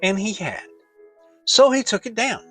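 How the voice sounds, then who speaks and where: A man narrates a children's storybook dramatically. His voice sits high for a man and moves with plenty of melody. The delivery is expressive and theatrical. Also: unhurried, slightly rough, faint music behind. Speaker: audiobook narrator.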